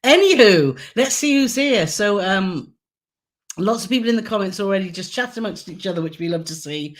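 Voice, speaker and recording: A woman with a hoarse, croaky throat co-hosting a casual live Q&A, chatty and giggly as she reads out viewer comments. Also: mid-range voice, lively intonation, fairly quick. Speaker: co-host of a travel channel live stream.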